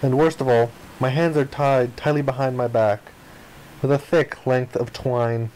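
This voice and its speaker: Male playthrough host, mid-pitched voice, reading visual novel narration aloud with expressive, dramatic delivery. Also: measured pace, slight background noise, low-quality recording. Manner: expressive, acts out the protagonist's lines.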